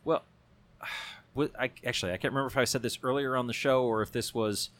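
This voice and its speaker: Man talking politics in fast bursts. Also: mid-range voice. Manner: animated, quick bursts with many restarts. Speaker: show regular and bookstore owner. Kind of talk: casual political discussion.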